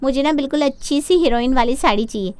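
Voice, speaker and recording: A woman's voice: high voice, quick pace, darkish tone, no echo, close to an everyday voice. A woman voicing a spoiled, grabby young sister-in-law in a cartoon story, wheedling and gushing with entitlement.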